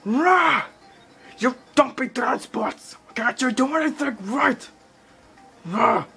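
A man voicing an angry, bossy cartoon villain from a video game in a theatrical, scolding shout. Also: very high-pitched, expressive, slow, vocal fry, husky, pitched above the performer's own voice, heavily acted. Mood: angry, commanding. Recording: handheld camera, game music in background.

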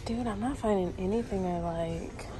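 A woman's voice: mid-range voice, moderate pace, background noise. Chatty young woman browsing a store. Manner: chatty, thinks aloud while browsing. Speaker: vlogger. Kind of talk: casual shopping vlog chat. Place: large retail store, indoors. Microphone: handheld phone camera. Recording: store music playing in background. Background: store music overhead.

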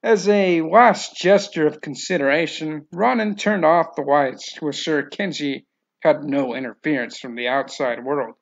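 Male novel narrator, slightly high pitch with gravelly fry, reading from a script, lo-fi sound. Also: unhurried.

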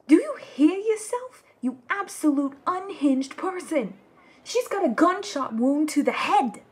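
A woman's voice: high-pitched, big pitch swings, a little slow, a little mellow. A woman playing an outraged female fraud investigator in an audio drama, swearing emphatically and exasperated. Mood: appalled. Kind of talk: ASMR audio roleplay drama.

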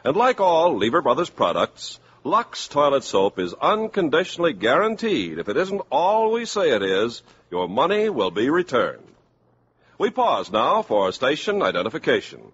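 A man working as a 1950s radio announcer, delivering a polished scripted sales pitch. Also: huge pitch swings, moderate pace, a bit bright. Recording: dull, slightly noisy 1950s radio.

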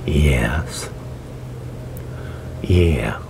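A man, whispering softly and haltingly in an ASMR librarian roleplay, often murmuring little affirmations. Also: rumbling low, creaky. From United States. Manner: halting, rambling, repeats soft affirmations. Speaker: ASMR performer playing a librarian. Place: outdoors at a metal picnic table under a shelter. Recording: noisy outdoor recording with traffic-like hum.

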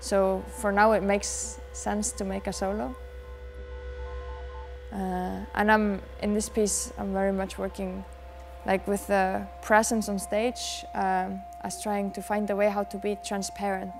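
Soft, flat Icelandic woman, gravelly edge. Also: mid-range voice, faint music behind. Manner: soft-spoken, thoughtful, many pauses and fillers. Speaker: dancer and choreographer. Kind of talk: reflective interview answers.

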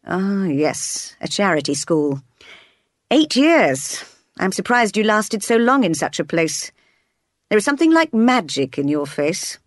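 A woman voicing a stern, brusque gentleman, master of the house, in blunt questions and commands for a novel. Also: mid-range voice, sing-song, measured pace, no room sound, clean studio sound. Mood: probing.

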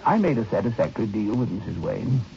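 An actor playing a reflective male writer, narrating his memories with measured, dramatic pauses in an old radio drama. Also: lowish voice, big pitch swings, vocal fry. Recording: dull old radio recording, faint noise.

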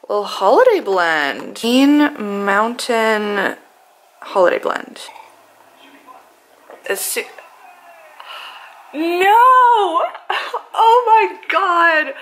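A woman in her twenties, a native Polish speaker, chatting casually to a handheld camera in a self-mocking vlog style, voice a little hoarse. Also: sits high, very animated, drawn-out words, pauses often, a bit bright, poor audio. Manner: chatty, self-mocking, sprinkled with likes and ums. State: slightly hoarse. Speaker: vlogger. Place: apartment living room, car and store. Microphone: handheld camera close up.

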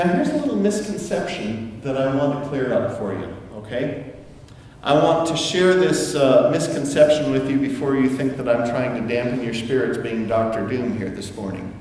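A middle-aged man preaching a sermon to his congregation in a relaxed, conversational way, with asides and restarts. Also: medium pitch, a little hiss or hum. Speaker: pastor. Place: church sanctuary. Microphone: far camera, room sound. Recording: echoey church hall from far off.

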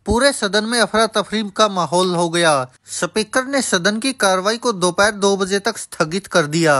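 A man's voice: high-pitched, moderate pace, sharp tone. A man narrating a scripted news report as a voice-over in a formal reporting manner.

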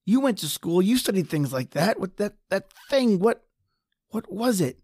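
A woman's voice: medium pitch, big pitch swings, moderate pace, warm tone, breathy edge, no echo, clean studio sound. A woman playing a frightened, injured man hiding in a tunnel, stammering and panicky, in an old-time radio drama. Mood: tense, hushed.

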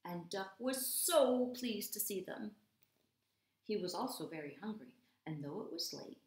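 A woman in her thirties, an English teacher reading aloud to young children, dramatic and deliberate, with hushed stretches. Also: on the high side. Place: small room at home, indoors. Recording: roomy indoor echo.

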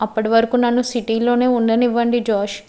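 Woman acting devout young woman, high mellow voice, anxious, pleading, loyal, web series drama. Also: level tone, a little fast, lightly acted. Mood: pleading, stubborn.

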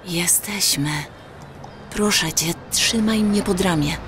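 A woman's voice: medium pitch, slow pace, background noise, lightly acted. An actress playing a tender young woman full of wonder in an audio drama, speaking in a wistful, marvelling way. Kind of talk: Polish audio drama dialogue.

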